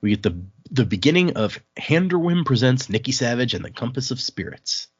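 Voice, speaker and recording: A man's voice: mid-range voice, sing-song, brisk, breathy edge, no room sound, dull audio. A man hosting a podcast, chatting informally as he recaps a book and reads bits of it aloud. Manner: conversational, informal. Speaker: podcast host. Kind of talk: casual chat recapping and reading from a novel.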